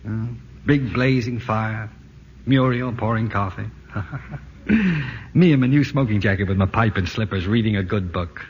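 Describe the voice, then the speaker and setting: A man's voice: lowish voice, unhurried, long pauses, highs cut off. A tired ad man stuck at the office on a rainy night, mocking himself and joking his way through. The old broadcast sound is thin and hissy, with a long echo hanging behind the voice. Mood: rueful. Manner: rueful, self-mocking. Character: harried male ad man, weary, joking. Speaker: Jim Blandings. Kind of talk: 1940s radio acting.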